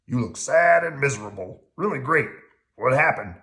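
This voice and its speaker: A loud, gleefully grouchy puppet, voiced by a man. He has a mocking, trash-loving temper and belongs to a children's storybook. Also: mid-range voice, expressive, moderate pace, voice pushed up. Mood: gleeful mockery.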